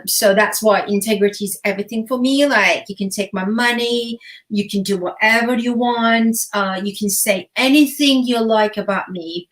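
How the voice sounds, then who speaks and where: A woman in her forties from Turkey speaks English as an in an earnest, searching way, pausing on fillers and circling back as she explains. She talks as a relationship coach in a relaxed online chat, her voice sitting at an ordinary middle pitch. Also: rough recording. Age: 40s. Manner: earnest, thinks aloud with fillers and restarts. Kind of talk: casual chat.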